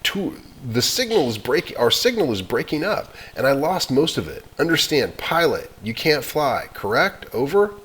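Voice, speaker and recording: A calm, businesslike male radio operator giving orders in short procedural phrases, as a storyteller puts him on. His voice sits a little higher and firmer than the narrator's own. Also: normal speed, hardly any pauses, slight background noise. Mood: questioning. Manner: clipped, procedural radio talk.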